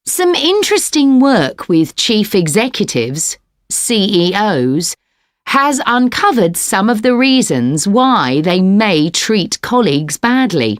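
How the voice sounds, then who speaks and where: A woman gives a scripted academic lecture at an unhurried pace, with varied, lively pitch movement. Her delivery is articulate and measured, recorded close and dry. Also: dry recording. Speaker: lecturer in a listening test recording.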